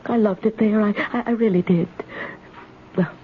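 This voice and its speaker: A woman's voice: mid-range voice, steady tone, natural voice. An actress playing a timid, lonely old woman who frets and repeats herself in a radio mystery. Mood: panicky, fretting. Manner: fretful, halting, repeating words. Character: timid, frightened, lonely old spinster in her house. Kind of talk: 1970s radio mystery acting. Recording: noisy, dull 1970s radio broadcast recording.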